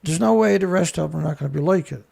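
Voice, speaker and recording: A man hosting a ranting commentary show, with a rough voice, blunt, angry and swearing. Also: fairly high pitch, rapid-fire, a little mellow. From Canada. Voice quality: rough, gravelly voice. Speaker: show host.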